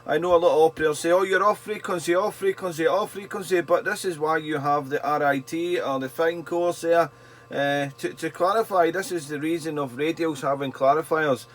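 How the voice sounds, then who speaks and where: A man's voice: on the high side, fairly even intonation, fairly quick. A man chatting casually as a radio operator, often repeating his phrases for emphasis. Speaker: radio operator and YouTube channel host. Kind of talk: casual ham radio chat.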